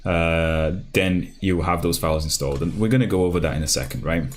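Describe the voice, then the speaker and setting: A man's voice: low voice, fairly quick. A man from Brazil whose first language is Portuguese, hosting a casual live coding stream and talking through each step with lots of fillers and restarts. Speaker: programming livestream host. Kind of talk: live coding tutorial, thinking aloud. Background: keyboard typing.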